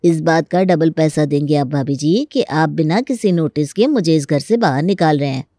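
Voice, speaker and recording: A man's voice: fairly high pitch, normal speed, mellow, dry recording, clean studio sound, close to an everyday voice. An actor playing a stubborn, crafty young village man who rents a room, folksy and mock-polite as he argues in a comic story. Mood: firm, threatening. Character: stubborn, crafty young rural male tenant with cattle. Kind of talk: Hindi comic story dramatization.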